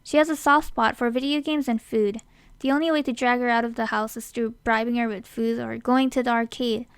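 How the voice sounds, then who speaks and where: A woman's voice: a little high, fairly even intonation, a little fast, clear, resonant tone, no echo. A woman hosting a casting call with scripted narration.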